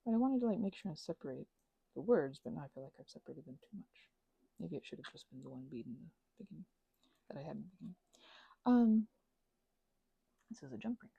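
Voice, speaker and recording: A woman in a rambling, casual crafting chat, her voice mellow and husky with a swooping melody. She talks whimsically to herself and to objects, often breaking off mid-thought. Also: sits low, animated, fast, long pauses, no room sound, low-quality recording. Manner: whimsical, self-interrupting, chatting to herself and objects. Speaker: craft and ASMR video creator.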